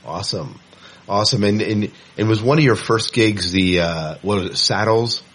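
A male interviewer with a notably deep voice and a husky edge. He talks casually as a co-host, feeling his way loosely into each question. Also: normal speed, a bit bright.

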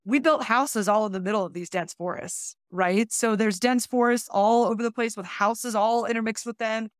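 A woman's voice: a little high, normal speed, no echo, clean studio sound. Woman explaining forest science on a panel. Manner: explanatory, uses plain analogies. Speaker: natural resources specialist. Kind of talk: panel talk at a conference.

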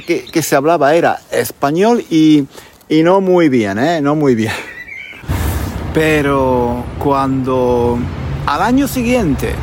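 A man with an accent from Spain telling stories conversationally as a language podcast host, deliberately repeating phrases for emphasis. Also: on the high side, background noise. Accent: Spanish, from Spain. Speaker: podcast host, Spanish teacher. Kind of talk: conversational storytelling for language learners.

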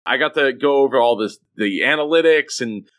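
A man's voice: mid-range voice, brisk, a bit bright. A man hosting a podcast, talking casually and emphatically about business, often repeating short phrases. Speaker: podcast host. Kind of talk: animated casual business discussion.

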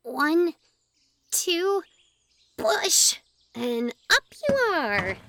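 A female performer playing a bold, sassy, adventurous young girl in a children's audio drama, excitable and teasing. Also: sits high, very slow, background noise, full character voice. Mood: urgent, excited.

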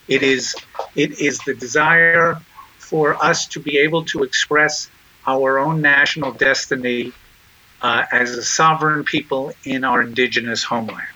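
Measured male interview guest, bright tone. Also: a little high, poor audio. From Israel. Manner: measured, deliberate, with frequent pauses and restarts. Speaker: guest, nonprofit chairman. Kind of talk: interview answers.

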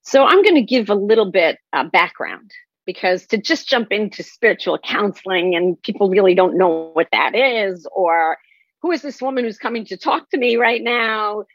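Older female interview guest, breathy husky tone, deliberate and emphatic, muffled dry sound. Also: medium pitch, animated, dry recording. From Israel. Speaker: guest, spiritual counselor. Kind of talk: personal storytelling in an interview.

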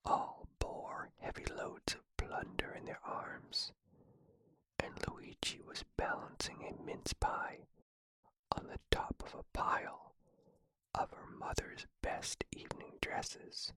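A narrator reading a children's storybook in a soft, unvoiced whisper, slow and hushed, close to the mic. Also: dry recording, low-quality recording. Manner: slow, even, hushed delivery.

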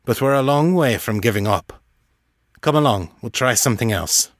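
A weary old wizard of a scholar, played by a man narrating an audiobook. He speaks low and subdued, with a gentle, fatherly manner and halting phrases. The recording is close and dry. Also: expressive, dry recording, natural voice. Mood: determined. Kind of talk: audiobook character voice.

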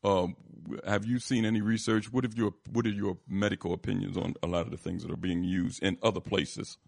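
A man hosting a talk show, putting questions to guests in a halting, rambling way. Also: low-pitched, fairly even intonation, vocal fry, a little mellow, no room sound, studio quality. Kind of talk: interview questioning.

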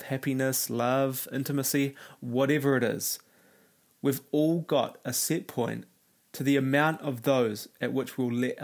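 A man hosting a solo self-help podcast, talking in an earnest, motivational way while raspy and nasal from a cold. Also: mid-range voice. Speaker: podcast host.

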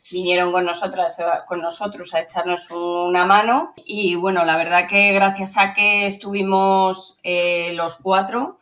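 A woman's voice: lowish voice, little pitch movement, measured pace, hardly any pauses, fairly bright tone. A woman with an accent from Spain, talking casually in an informal, colloquial way. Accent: Spanish from Spain. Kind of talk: casual conversation.

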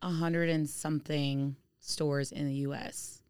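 A woman's voice: low voice, little pitch movement, unhurried, a little mellow, clean tone, no room sound, studio quality. A woman talking casually as a guest on a podcast. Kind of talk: casual chat.

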